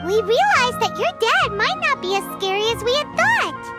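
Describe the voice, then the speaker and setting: Squeaky, very high-pitched fairy girl. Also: normal speed. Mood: earnest. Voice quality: squeaky, childlike. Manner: speaks of self in third person, excitable. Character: small childlike floating fairy girl companion, chirpy and earnest. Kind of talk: anime game voice acting. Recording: music behind the voice.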